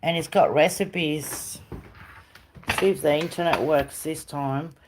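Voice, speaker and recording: Middle-aged woman, South American origin, relaxed home streamer; unhurried and chatty, phone mic indoors. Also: sits low, noisy background. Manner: chatty, talks sweetly to her dog. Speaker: live streamer. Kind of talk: casual home live stream tour. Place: rented house, indoors.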